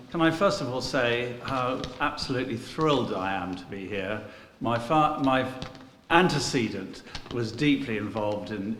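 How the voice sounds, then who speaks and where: A man giving a courteous, formal speech of thanks as a guest dignitary in a parish church, with measured phrasing. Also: lowish voice, a bit bright, slight background noise. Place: inside a parish church, before an audience. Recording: echoey church with long reverb.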